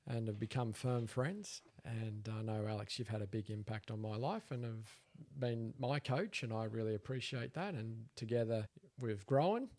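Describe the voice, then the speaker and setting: A man's voice: lowish voice, normal speed, hardly any pauses, darkish tone. A man with an Australian accent co-hosting a podcast, earnestly asking his guest questions in casual chat. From Papua New Guinea. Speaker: podcast co-host, interviewer.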